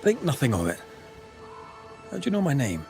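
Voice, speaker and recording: Blunt male ghost hunter for a colonial game drama; wry, animated delivery, faint music behind. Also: medium pitch, moderate pace, creaky. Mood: businesslike. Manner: wry, direct, archaic phrasing.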